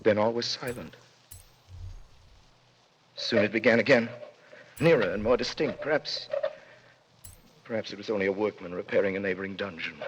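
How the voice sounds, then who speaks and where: A man's voice: fairly low pitch, moderate pace, long pauses, lightly acted. An actor playing an anguished prisoner who recounts his years in a dungeon, grave and intense, in classic radio drama style. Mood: grave, despairing. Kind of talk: classic radio drama acting, dramatic first-person narration. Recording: noisy old radio recording.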